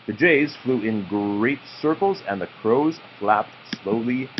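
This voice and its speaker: A man reading a children's picture book aloud to his child in a slow, drawn-out storytelling way, with informal asides. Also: medium pitch, expressive. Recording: muffled home recording with background noise.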